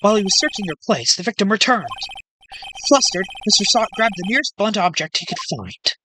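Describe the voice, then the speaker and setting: A woman's voice: medium pitch, animated, a little fast, a little hiss or hum, lightly acted, rough recording. A woman voicing an earnest young male defense lawyer who gets exasperated, in a fan dub of a video game. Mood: earnest, reflective.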